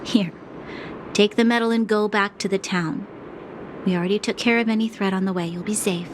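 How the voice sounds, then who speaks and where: A woman's voice: mid-range voice, fairly even intonation, lightly acted. A woman playing a young, principled guard commander in a video game cutscene, speaking formally and earnestly, with compassion. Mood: kind, firm. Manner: formal, earnest, measured phrasing. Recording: clearly noisy game ambience behind voice.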